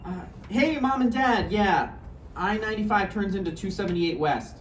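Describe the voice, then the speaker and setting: A man's voice: sits high, expressive, moderate pace. A man playing an anxious, insecure, needy boyfriend in a naturalistic play table read, hesitant and pleading. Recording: echoey room, distant mic, faint noise.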